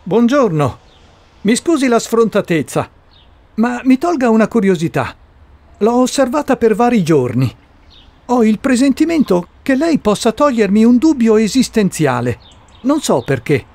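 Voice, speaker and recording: A man's voice: very high-pitched, big pitch swings, moderate pace, darkish tone, no echo, voice pushed up, exaggerated voice. A man voicing a curious, earnest male interviewer in a radio play, courteous and puzzled in his questioning, with music under the voice. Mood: polite curiosity. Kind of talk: radio drama dialogue.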